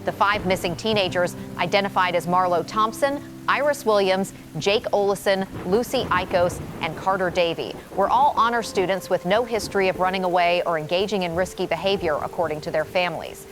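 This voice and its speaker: A woman playing a composed, grave field reporter in a radio drama, giving a measured and formal scripted news report. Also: lowish voice, music in the background, lightly acted.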